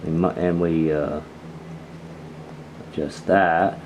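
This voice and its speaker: Low-pitched man over clear noise. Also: flat delivery, slow, darkish tone. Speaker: radio hobbyist reviewer. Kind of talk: casual unscripted product demonstration.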